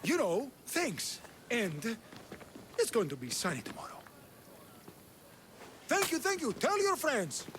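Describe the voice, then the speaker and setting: A boastful fraud fortune teller. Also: male voice, very high-pitched, huge pitch swings, takes it slowly, pauses often, fairly bright tone, a little hiss or hum, heavily acted. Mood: showy. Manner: showy, hawking, vague.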